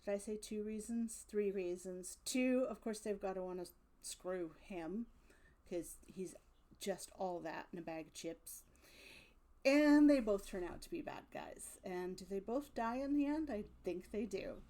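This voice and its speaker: Woman doing casual video commentary, mellow relaxed voice, kinda thinking out loud, wry little asides. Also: mid-range voice, measured pace. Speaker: video host reviewing old radio drama.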